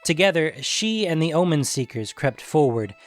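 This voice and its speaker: A man's voice: on the high side, very animated, moderate pace. A man reading scripted fantasy story narration as the narrator. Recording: music behind the voice.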